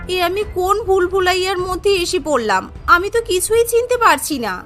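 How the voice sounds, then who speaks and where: A very high, bright voice for a guileless young village woman in a story, acted out by a female narrator. She exclaims plaintively and frightens easily. Music plays behind her. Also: lively intonation, normal speed, full character voice. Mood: frightened, pleading.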